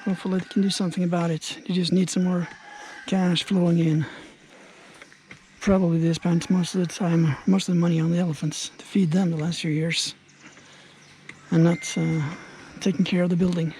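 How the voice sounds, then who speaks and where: A man with a fairly high voice, thinking aloud in an easygoing way as he films himself outdoors. A faint outdoor hiss sits under his voice, picked up by the camera mic. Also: normal speed, poor audio. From Norway. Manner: relaxed, chatty, thinking aloud. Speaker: travel vlogger. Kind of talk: casual travel commentary to camera. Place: outdoors at a jungle elephant camp.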